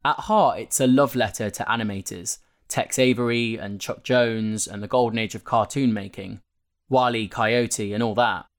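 A man's voice: lowish voice, steady tone, moderate pace. A man reading a written magazine interview aloud as scripted narration. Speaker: narrator reading a newspaper feature. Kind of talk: scripted narration of a print interview, with quotes read out.

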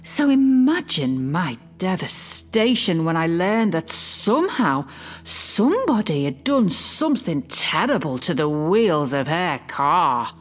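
A woman playing a possessive middle-aged mother in a confiding dramatic monologue, smug, mock-innocent and quietly malicious. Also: fairly low pitch, sing-song, slow, faint music behind, heavily acted. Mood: coolly self-justifying. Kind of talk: dramatic monologue, confiding fiction podcast narration.

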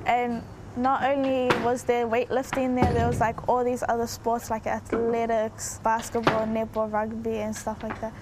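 A woman's voice: on the high side, noisy background. A teenage girl with a New Zealand accent chatting casually in an interview as a young athlete. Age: teens.

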